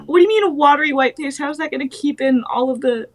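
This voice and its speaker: A woman's voice: high voice, quick pace. A young adult woman chatting casually with friends on a stream. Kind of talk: casual group chat.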